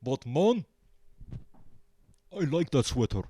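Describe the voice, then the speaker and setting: Male band guest for comedy interview: slightly high, mellow voice, halting deadpan delivery. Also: slightly rough, no room sound. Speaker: band member, show guest. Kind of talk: comic in-character interview.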